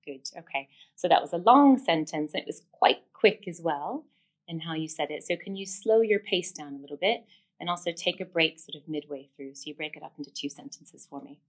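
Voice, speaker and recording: A woman with a low voice explains a technique step by step in the manner of a speech therapist. She is encouraging and affirming as she instructs. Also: expressive, brisk.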